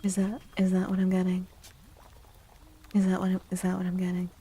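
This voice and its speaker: Female ASMR livestream host: hushed, mellow, breathy delivery with gentle, soothing manner and frequent whispering. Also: fairly low pitch, monotone, fairly quick. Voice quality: breathy, often dropping into a whisper. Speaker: livestreamer hosting an ASMR stream. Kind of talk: soft-spoken ASMR, chatting with viewers. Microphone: close microphone.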